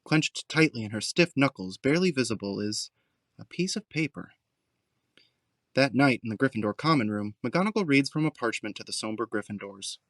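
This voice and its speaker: A man's voice: medium pitch, lively intonation, moderate pace, a bit bright, dry recording, clean studio sound. A man reading scripted narration as the narrator of an audio drama.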